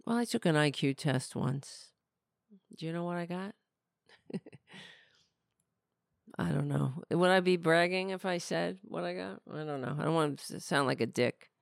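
A woman's voice: fairly low pitch, measured pace, creaky, long pauses, no room sound, studio quality. A middle-aged woman hosting a podcast, losing her voice, talking in a rambling, sardonic, blunt way. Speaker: podcast host. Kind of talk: casual political commentary.

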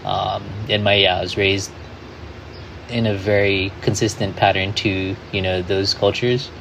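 A man's voice: on the low side, little pitch movement, unhurried, gravelly, a bit bright, slight background noise, rough recording. A man, a guest in an interview, reflecting in a loose way full of "like" and "you know". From Hawaii.

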